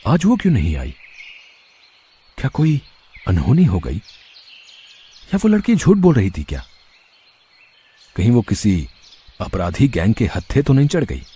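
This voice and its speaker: A man acts out a kind older tea-stall uncle for a cartoon moral tale. The voice is very raspy and fairly low, with a mellow tone. He sounds fatherly and concerned for others. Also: wildly swooping pitch, a little slow, pauses often, heavily acted. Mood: worried. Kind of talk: Hindi moral-story cartoon voice acting.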